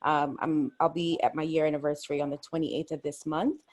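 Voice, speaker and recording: Woman with a lowish voice, friendly, kinda modest, on an online panel. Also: level tone, brisk, darkish tone. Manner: friendly and self-deprecating. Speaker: panelist, diversity lead. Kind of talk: webinar panel introduction.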